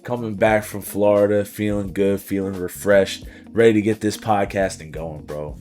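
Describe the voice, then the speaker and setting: Male podcast host, lowish voice, a bit raspy, hype and casual. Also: steady tone, normal speed, darkish tone, soft background music. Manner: hype, slangy, upbeat. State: slightly raspy after partying.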